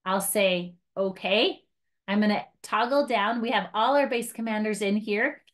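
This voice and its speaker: A woman's voice: medium pitch, measured pace. Woman giving a casual hands-on tutorial. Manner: casual, chatty step-by-step explaining with light self-mocking asides. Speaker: trainer for outdoor guides. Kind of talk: informal hands-on device tutorial.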